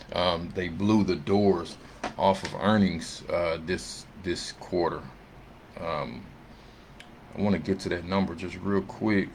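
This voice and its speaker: A man with a low-pitched voice presents financial results informally, as if talking through slides without a script. His delivery is conversational and halting, with frequent little tag questions. A faint noise sits behind his voice. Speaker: presenter of an investment earnings summary. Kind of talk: informal unscripted financial commentary over slides. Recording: noticeable background noise.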